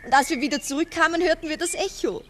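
A woman's voice: high voice, fast talker, lightly acted. An actress playing an eager little village girl in a 1960s radio drama, childlike, wistful and coaxing. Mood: wistful pleading. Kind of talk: 1960s German-language radio drama acting. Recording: old mono radio, birdsong noise.

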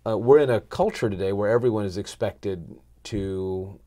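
A man's voice: fairly low pitch, moderate pace, dark tone. A middle-aged American man answering as an interview guest in a conversational, earnest way, voicing bits of dialogue as he explains. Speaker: podcast guest, marketing consultant. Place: small indoor podcast studio. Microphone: lapel mic.

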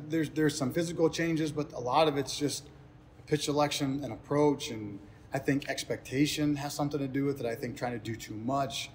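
A man's voice: mid-range voice, a little mellow. A man in his thirties speaks as a coach answering the press. He explains things earnestly in loose, unscripted phrases that he often repeats. Faint ambient noise sits behind him. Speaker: head baseball coach.

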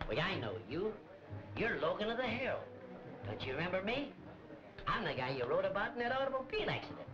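A man's voice: high voice, very animated, a little fast. An actor playing a tipsy, over-familiar man at a bar in a 1940s film, loose and folksy. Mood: boozy, sociable. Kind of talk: 1940s crime film comic acting. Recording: dull 1940 film soundtrack, music behind.

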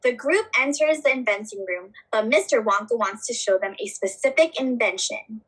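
A woman's voice: a little high, expressive, moderate pace, a bit bright, slightly rough, low-quality recording. A woman giving scripted narration for a puppet show as its narrator.

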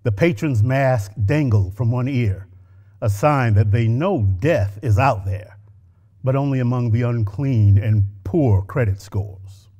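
A middle-aged man reads a short story aloud at a lectern on a stage. His delivery is measured and expressive. He leans into key phrases and lets the quieter ones drop back. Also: medium pitch, highs cut off. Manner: expressive, measured reading with varied emphasis. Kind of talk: dramatic story reading. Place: stage with orange curtains. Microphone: lectern microphone.

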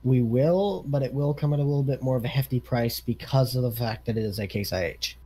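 A man's voice: mid-range voice, a little fast, dark tone, breathy edge. A man improvising casually as an equipment dealer in a game role-play, matter-of-fact and conversational.

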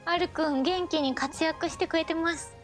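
A woman's voice: very high-pitched, fairly even intonation, moderate pace, faint music behind. A woman co-hosting a radio show, chatting casually with short reactions and comments. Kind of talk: casual chat.

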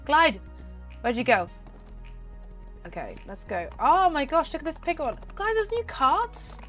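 A young woman with a cold, hoarse and chatty, commenting on a horse game she plays at home into a desk mic. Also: high-pitched, wildly swooping pitch, unhurried, a bit bright, soft background music. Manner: chatty, talks to the game and viewers. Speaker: gaming channel host. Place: home room, indoors. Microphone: desk microphone on stand.

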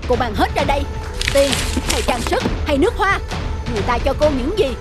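A woman's voice: sits high, very animated, a little fast. A woman voicing a jealous young male delivery worker in a cartoon, suspicious and accusing, with music behind. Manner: suspicious, accusing, then apologetic. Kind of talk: animated cartoon dubbing. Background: background music.

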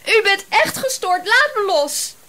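A woman's voice: very high-pitched, sing-song, a little slow, sharp tone, full character voice. An actress playing a flustered, chatty woman detective of about thirty in a Dutch radio drama. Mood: alarmed. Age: young adult. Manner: chatty, apologetic, exclaiming. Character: flustered, chatty female private detective around thirty, indignant under pressure.

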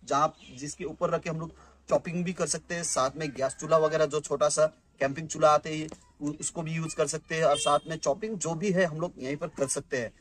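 A chatty man in his thirties talks viewers through camping gear in a casual home vlog, often stopping to restart a phrase. His voice sits a little high for a man. He is recorded on a phone camera, close up indoors and then farther off on an open rooftop. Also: steady tone, brisk. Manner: chatty, many fillers and restarts, addresses viewers as guys. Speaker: vlogger reviewing gear. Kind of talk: casual product unboxing vlog.

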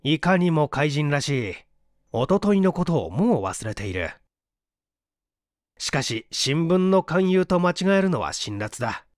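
A male narrator reads literary fiction as an audiobook. His pitch is a little high for a man and very animated, and the recording is close and dry. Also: unhurried, no echo. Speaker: audiobook narrator and stage actor.

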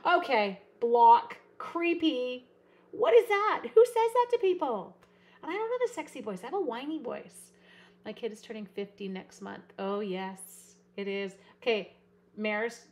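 Chatty woman hosting a live craft stream. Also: a little high. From Canada. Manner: rambling, animated, reads chat comments aloud. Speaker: card-making livestream host. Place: craft room at a desk.

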